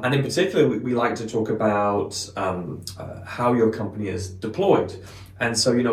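A man's voice: on the low side, darkish tone, distant mic. A man hosting an interview show, upbeat and encouraging as he introduces his guest and asks questions. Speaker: podcast host. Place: room in London, mobile setup. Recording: echoey, off-mic.

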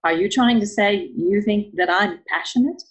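A woman's voice: mid-range voice, moderate pace, lightly acted. An actress playing a prickly, defensive, self-deprecating woman in a romantic comedy audio play, with tart, pedantic retorts. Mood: dry, softening.

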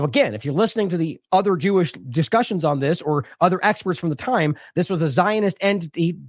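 A man's voice: sits high, dry recording, clean studio sound. A man hosting an independent news show, commenting on clips in a discursive way and often correcting himself mid-sentence. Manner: discursive, self-correcting, reads quotes aloud. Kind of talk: commentary over played clips.

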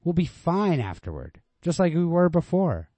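A man's voice: fairly high pitch, sing-song, normal speed, dark tone, no echo, pitched above the performer's own voice. A man voicing a defensive, insistent, coaxing male lover in storybook dialogue, persuasive and dismissive. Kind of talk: storybook read-aloud dialogue.

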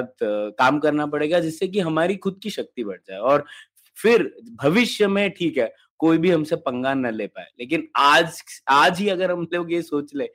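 A man's voice: medium pitch, fairly bright tone. A man with a sore throat co-hosting a podcast, giving conversational analysis and reasoning step by step. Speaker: podcast co-host.

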